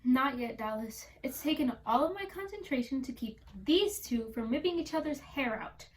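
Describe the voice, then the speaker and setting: Exasperated stage director played by a woman, high voice, commanding, 1940s radio play. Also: lively intonation, moderate pace.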